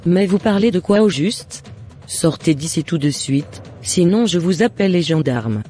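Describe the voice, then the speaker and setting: Man voicing a mellow, higher-pitched troubled mother. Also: animated, normal speed, close to an everyday voice. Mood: alarmed, indignant. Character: remorseful mother, weary and frightened, seeking God's forgiveness. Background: faint music.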